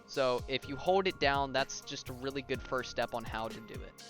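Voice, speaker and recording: A young man with a mid-pitched voice talks through a gaming tutorial in a casual, informal way. He addresses viewers directly and checks in as he explains. Faint music plays behind him. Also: moderate pace, fairly bright tone. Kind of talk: casual video game tutorial commentary.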